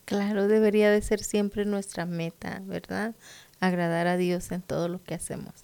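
A woman's voice: mid-range voice, little pitch movement, moderate pace, darkish tone, clear, resonant tone. Affirming woman co-host reading scripture. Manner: affirming, brief backchannels. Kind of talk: supportive chat and scripture reading.

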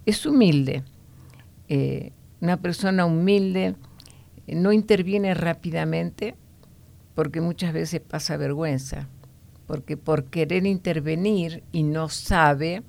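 Low, gravelly woman teaching the Bible. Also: a little mellow. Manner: explanatory, often ending points with a questioning "no?"; unhurried, with frequent hesitation fillers.

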